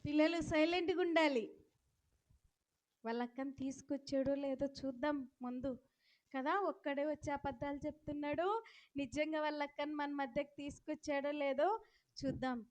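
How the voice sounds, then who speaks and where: Lady doing a kids puppet show as host, high voice, teachy, keeps asking the children stuff. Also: steady tone, moderate pace, a little mellow. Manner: instructive, addresses children with questions. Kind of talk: playful talk to children and a puppet.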